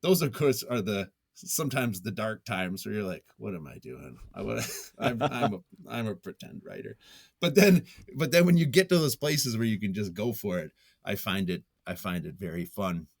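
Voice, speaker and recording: Low-voiced man thinking aloud in an interview. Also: lively intonation, creaky, no echo, clean studio sound. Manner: halting, thinking aloud, circles back to repeat phrases. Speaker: podcast guest, nonfiction writer. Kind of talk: casual reflective interview answers.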